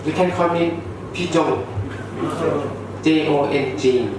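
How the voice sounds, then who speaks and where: Male director, unhurried slow pace, brief introduction, echoing room, clear noise. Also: fairly high pitch. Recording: echoey hall, distant mic, noisy.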